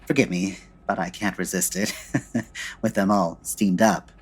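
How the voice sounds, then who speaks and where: An actor playing a witty, unflappable gentleman sleuth in a comedy audio drama, talking in a suave, wry, old radio detective manner. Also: low-pitched, fairly even intonation, measured pace, breathy edge. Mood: amused, punning.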